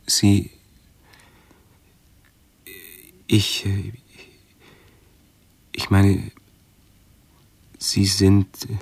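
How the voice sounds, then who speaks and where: An actor playing a brooding, hypochondriac intellectual with pedantic, ornate diction in a radio drama. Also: male voice, sits low, completely flat delivery, extremely slow, gravelly, slightly rough, exaggerated voice. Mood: anxious, formal. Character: brooding, hypochondriac intellectual man tormented by his body. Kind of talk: 1970s German radio drama acting.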